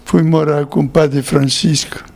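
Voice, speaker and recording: An older Italian-born priest, a man, telling his memories slowly in an interview, with small chuckles. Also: a little high, flat delivery, creaky, a little mellow. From Italy. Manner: slow storytelling with chuckles.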